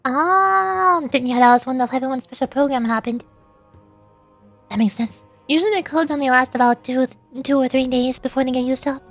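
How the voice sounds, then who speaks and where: A woman's voice: sits high, fairly quick, soft background music. A woman streaming as a VTuber, chatting casually in a playful, rambling way during a game livestream.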